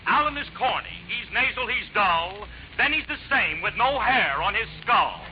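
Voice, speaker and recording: An actor playing a pompous rhyming poet called Falstaff in a 1940s radio comedy, reciting verse. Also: male voice, high voice, big pitch swings, normal speed. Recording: dull, noisy 1940s radio recording.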